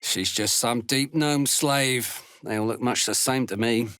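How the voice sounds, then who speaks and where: An actor playing a gruff, callous dark dwarf soldier and zealot in a video game, sneering and threatening in a gravelly voice. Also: male voice, medium pitch, a little slow, no echo, studio quality, lightly acted. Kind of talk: video game character voice lines.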